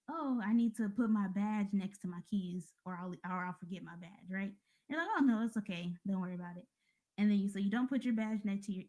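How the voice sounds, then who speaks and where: A woman's voice: mid-range voice, a little mellow. American woman giving an informal motivational talk. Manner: conversational, addressing listeners directly. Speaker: speaker giving a religious talk at a mosque.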